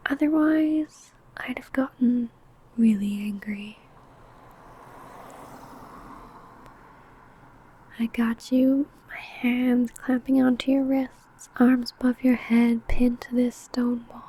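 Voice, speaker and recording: Possessive vampire coworker for ASMR roleplay, played by a woman: breathy female whisper, soft and mellow, menacingly teasing, faint background noise. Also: a little high, poor audio. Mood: controlling, menacing. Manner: slow, teasing, menacing, deliberate. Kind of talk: ASMR roleplay, intimate monologue to listener.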